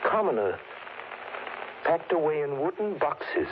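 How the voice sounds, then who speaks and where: A man playing a grave Victorian doctor who reads evidence aloud in measured, theatrical 1930s radio style. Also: a little high, very animated. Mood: ominous. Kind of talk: 1930s radio acting, dramatic narration. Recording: noisy, tinny old radio recording.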